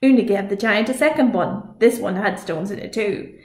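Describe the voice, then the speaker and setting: A woman's voice: mid-range voice, normal speed. Woman reading a story, echoey room. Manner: expressive storytelling. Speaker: librarian reading a picture book. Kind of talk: children's storytime read-aloud.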